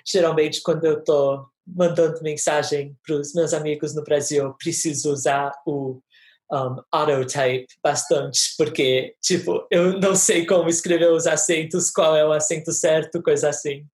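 A young woman answers an interview question in a relaxed, slightly hesitant way. Her voice sits notably low. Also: a little slow.

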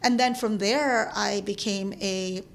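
A woman's voice: mid-range voice, fairly even intonation, slow, creaky. A Filipino-born woman in her thirties, a guest in an interview, talking casually with frequent laughs and restarts. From Philippines. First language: Tagalog. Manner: chatty, laughs often, many restarts.

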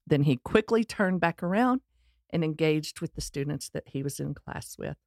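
A woman's voice: low voice, expressive, normal speed, creaky, darkish tone, no room sound, studio quality. A middle-aged woman with a Texan accent telling her personal story in a candid, earnest way, with touches of self-deprecating humor. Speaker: speaker sharing her life story.

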